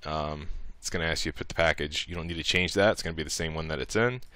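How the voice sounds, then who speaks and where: A man with a low voice walks through a software tutorial in a casual, informal way. His tone is bright and the sound is dry and close, and he thinks aloud as he goes. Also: fast talker, clear, resonant tone, no echo. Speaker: tutorial narrator.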